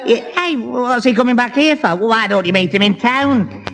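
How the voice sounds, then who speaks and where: High-voiced giggly dimwit. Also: male voice, lively intonation, over music. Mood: muddled, amused. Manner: slow-witted, rambling, silly giggling. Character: dim, giggly, muddled young man. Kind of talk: 1960s radio sitcom acting. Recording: old radio recording, loud studio audience.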